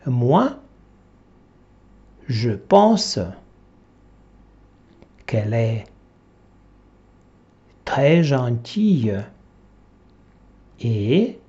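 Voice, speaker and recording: Slow, mellow man reading phrase by phrase. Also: medium pitch, sing-song. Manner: reads each short phrase separately with long pauses. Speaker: language teacher reading a textbook dialogue. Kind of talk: slow dictation-style reading for repetition. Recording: dull lo-fi classroom recording.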